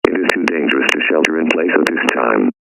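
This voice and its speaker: A man's voice: very deep voice, animated, fast, vocal fry. A synthetic male text-to-speech voice reading scripted emergency warnings in a formal, mechanical manner. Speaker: emergency alert announcer. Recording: noisy radio-style hum and static.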